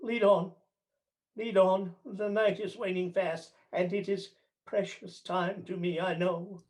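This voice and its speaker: Frightened old miser, high-pitched, very slow, halting, pleading, theatrical radio play. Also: male voice, steady tone, a little mellow. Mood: dread, pleading.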